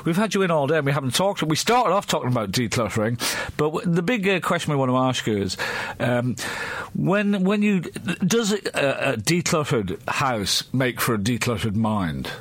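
A middle-aged man with an Irish accent hosting a casual comedy chat, teasing his guests with quick asides. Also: medium pitch, big pitch swings, no room sound. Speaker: podcast host.

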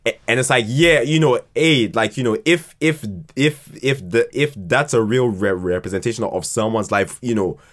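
A man chatting casually as a podcast guest, often repeating words haltingly as he thinks aloud. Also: mid-range voice, fairly bright tone. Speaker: podcast guest, fiction podcast writer. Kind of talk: casual conversational interview.

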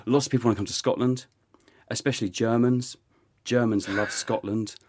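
A man, a lecturer, explaining as a guest expert in a relaxed way with frequent restarts. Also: medium pitch, moderate pace, husky. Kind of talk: casual expert chat.

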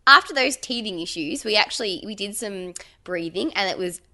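A woman co-hosting a podcast, telling a story in casual chat with lively acted-out quotes. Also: mid-range voice, normal speed. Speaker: podcast co-host.

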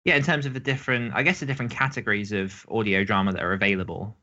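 A man with a lowish, hard-edged voice chats casually as a podcast co-host. He rambles and backs up to restate things. The recording sounds somewhat dull and band-limited. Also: steady tone, racing, sharp tone. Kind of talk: casual discussion.

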